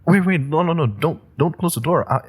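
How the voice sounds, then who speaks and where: A man's voice: on the high side, a little fast, slight background noise, close to an everyday voice. A man playing a nervous, eager young superhero rookie who rambles, stammers and laughs nervously. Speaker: audio roleplay performer. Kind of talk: audio roleplay drama.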